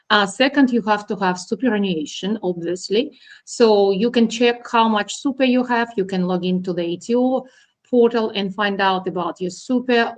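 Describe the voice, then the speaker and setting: A middle-aged woman from Russia, a guest accountant, explaining finance plainly with frequent ums and uhs. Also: mid-range voice. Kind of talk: explaining to an interviewer.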